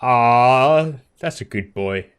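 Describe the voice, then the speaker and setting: A man's voice: mid-range voice, lively intonation, slow pace, smooth. A man giving casual let's play commentary, reacting aloud in a playful, self-deprecating way.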